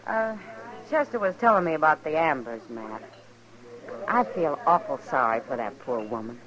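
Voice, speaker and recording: A woman playing a worldly, warm-hearted, independent frontier saloon woman, wry and folksy, in a 1950s radio western. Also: low voice, lively intonation, moderate pace, a crowd in the background. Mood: sympathetic. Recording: dull vintage 1950s radio recording.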